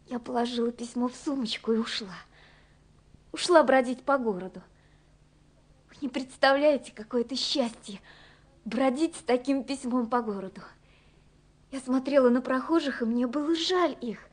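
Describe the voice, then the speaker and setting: An actress playing a tender, dreamy young woman in love, reading her letters in a wistful, intimate way for a radio drama. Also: high-pitched. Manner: wistful, intimate, softly wondering.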